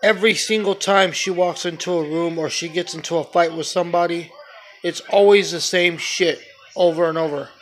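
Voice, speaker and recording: A man's voice: fairly high pitch, fairly even intonation, moderate pace, low-quality recording. A man giving a casual, opinionated film review as a blunt, exasperated rant, swearing freely and repeating his complaints.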